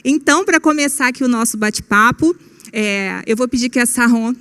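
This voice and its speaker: A woman's voice: fairly high pitch, fairly quick, fairly bright tone, smooth. A woman moderating an online panel discussion in a friendly, conversational way, often tagging phrases with casual fillers.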